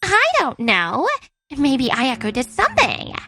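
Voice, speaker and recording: A woman's voice: high-pitched, huge pitch swings, a little slow, heavily acted. A woman playing an indignant, entitled young bride in a manga story dub, whiny and demanding. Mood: indignant, pleading. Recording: music under the voice.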